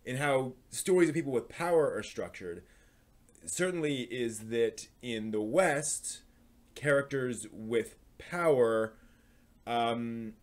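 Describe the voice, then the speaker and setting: A man's voice: mid-range voice, expressive, slow pace. A man hosting a live stream, giving opinionated commentary on anime in a rambling, thinking-aloud way.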